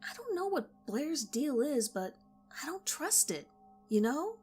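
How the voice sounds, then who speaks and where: A woman's voice: high voice, big pitch swings, measured pace, darkish tone, soft background music, voice pushed up. A woman voicing a protective teenage girl friend in a story reading, speaking in a confiding, coaxing way. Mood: worried.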